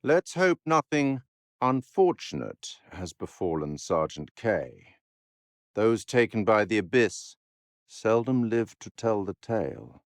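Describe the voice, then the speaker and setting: An actor playing a refined, sardonic and ominous male curator of macabre tales, narrating a horror game in a measured, dramatic, dryly mocking way. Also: lowish voice, expressive, no room sound, clean studio sound. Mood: ominous, cryptic. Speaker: narrator and host figure of a horror video game. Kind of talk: theatrical scripted game narration.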